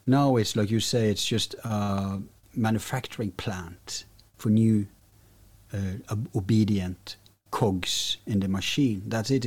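A man's voice: medium pitch, darkish tone, slightly rough. A man from Norway, co-hosting a podcast, who talks in an emphatic, ranting way with frequent restarts. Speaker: podcast co-host. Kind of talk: opinionated podcast talk.

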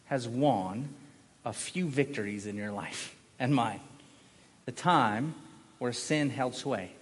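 Male pastor, fairly high voice, sermon delivery with dramatic emphasis and rhetorical repetition, big echoing church hall. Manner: emphatic, dramatic swings between raised voice and hushed emphasis; repeats short phrases and rhetorical questions for emphasis. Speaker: pastor preaching. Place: large reverberant indoor church hall. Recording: long reverb of a large hall.

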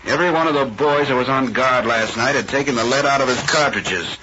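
An actor playing a plain-spoken Western ranch hand in an old radio drama, with deliberate, folksy cowboy phrasing. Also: male voice, medium pitch, natural voice. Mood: steady, triumphant. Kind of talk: 1930s-40s radio western acting. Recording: noisy dull old radio broadcast recording.

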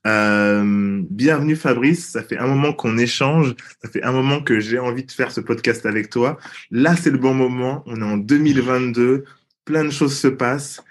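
A man's voice: mid-range voice, normal speed. Man hosting a podcast interview. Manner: brief acknowledgements, friendly questions. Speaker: podcast host.

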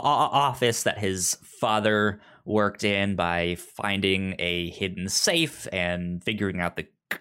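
A man co-hosting a casual book discussion, talking haltingly and stumbling over the starts of words. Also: lowish voice, fairly bright tone, clear, resonant tone. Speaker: podcast co-host.

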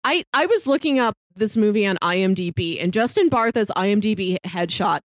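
A woman co-hosting a podcast, chatting casually about films in an animated, amused way. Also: mid-range voice, fairly quick, dry recording. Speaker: podcast co-host. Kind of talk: casual film discussion chat.

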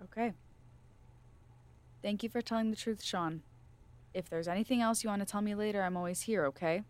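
A mid-pitched American woman acted by a woman as a corporate official in an audio drama. She is controlled and firm, coercive beneath a calm surface. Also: fairly quick, natural voice. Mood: reassuring.

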